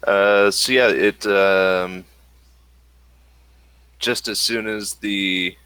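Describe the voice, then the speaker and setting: Male game master, deep and bright-toned, halting improvised narration, dry recording. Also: steady tone, clean tone, no echo.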